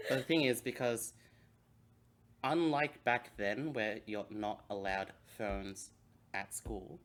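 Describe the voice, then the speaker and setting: A man's voice: fairly low pitch, fairly even intonation, takes it slowly, a bit bright. A man from Hong Kong, a native Cantonese speaker, telling stories in a casual podcast chat. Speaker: podcast co-host.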